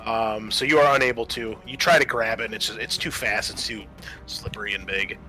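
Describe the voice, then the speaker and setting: A man's voice: fairly low pitch, fairly even intonation, fairly quick, bright, forceful tone, faint music behind, low-quality recording. A man acting as game master, narrating a tabletop roleplaying scene descriptively and speaking directly to his players.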